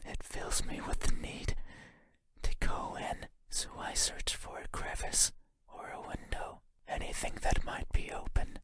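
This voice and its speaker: A man voicing a lonely, curious shadow creature in a horror game, speaking in a breathy, halting, intimate whisper that is softly insistent. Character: eerie wandering shadow creature, lonely, curious, longing to be seen.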